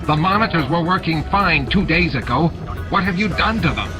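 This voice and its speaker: A man's voice: fairly high pitch, lively intonation, moderate pace, pitched above the performer's own voice, lightly acted. A man playing a scheming, bullying alien bar owner who is snappish and indignant, in an audiobook drama. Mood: alarmed anger. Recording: music behind.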